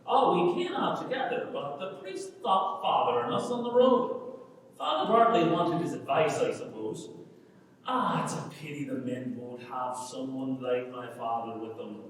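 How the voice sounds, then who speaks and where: A man's voice: mid-range voice, moderate pace, close to an everyday voice. A man playing a talkative, earnest young Irish countryman with a wordy, rhetorical manner, in a dramatic reading of a rural stage comedy. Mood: eager, proud. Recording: echoey hall from far off, faint noise.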